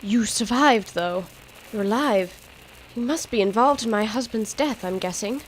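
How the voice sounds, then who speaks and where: A woman's voice: on the high side, lively intonation, unhurried, slight background noise, poor audio. An actress playing a weak, exhausted wealthy mother and former captive, weary and pleading, in an old-time radio drama. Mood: shaken.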